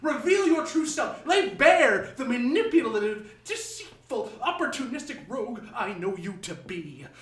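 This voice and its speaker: A man's voice: extremely high pitch, very animated, a little slow, hardly any pauses, heavily acted. A man playing a bitter, deranged washed-up actor in a live radio drama, grandiloquent, sarcastic and vengeful. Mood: ranting, accusing. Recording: roomy echo of a live studio stage.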